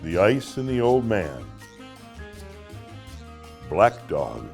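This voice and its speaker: A man narrating literary short stories as their author, in a dramatic audiobook style with deliberate phrasing and emphatic pauses. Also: low-pitched, sing-song, creaky, over music.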